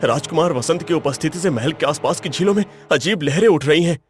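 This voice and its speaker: A man playing a frightened, deferential palace servant with an alarmed delivery in an animated tale. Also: on the high side, a little fast, soft background music, lightly acted. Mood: panicked. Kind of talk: animated moral tale voice acting.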